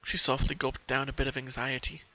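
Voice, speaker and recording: A man narrates a story in a dramatic, scripted reading style. His voice sits at a medium pitch with a sharp, bright edge. The sound is dull and lo-fi, like a home recording. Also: little pitch movement, fairly quick. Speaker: fan fiction narrator.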